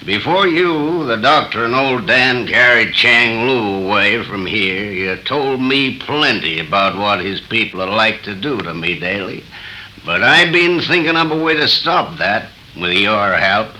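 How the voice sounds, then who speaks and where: Forceful, slow-talking villain. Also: male voice, fairly low pitch, animated, exaggerated voice, low-quality recording. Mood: menacing, commanding. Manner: theatrical, slow, intense. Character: menacing, ruthless gunman turned terrified, guilt-haunted wretch. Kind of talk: 1930s radio horror acting. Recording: old 1930s radio transcription recording.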